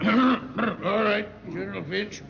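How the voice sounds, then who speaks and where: An actor playing a pompous, folksy old senator with a Southern US accent in a 1950s radio drama, orating and accusing. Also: male voice, high-pitched, slow, creaky, crowd noise, full character voice. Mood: indignant, grandiose. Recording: dull vintage 1950s radio recording.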